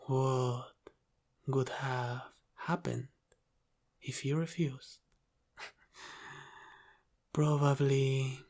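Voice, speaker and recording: A man playing a teasing, menacing mafia boss with an Italian-American accent in an ASMR roleplay, speaking deliberately and sometimes dropping into a whisper. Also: medium pitch. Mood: calmly threatening. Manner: slow, deliberate, teasing, with breathy sighs and whispered phrases.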